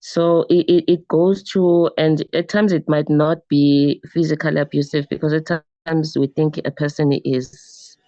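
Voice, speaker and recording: A man reflecting casually in a group discussion, halting and repeating words as he thinks. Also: fairly high pitch, level tone, dark tone, no echo, highs cut off. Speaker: book club participant.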